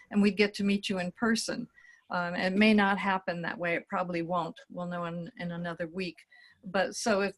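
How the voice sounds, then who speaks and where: Encouraging woman moderating a video meeting. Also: mid-range voice. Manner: warm and encouraging, thanks speakers. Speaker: meeting facilitator. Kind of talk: moderating an online academic meeting. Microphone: video call.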